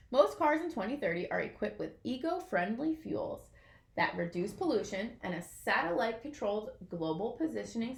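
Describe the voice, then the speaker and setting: Creaky-voiced woman reading a children's storybook. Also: fairly high pitch, roomy sound. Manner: expressive, addressing young listeners. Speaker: storybook reader. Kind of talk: children's picture book read-aloud.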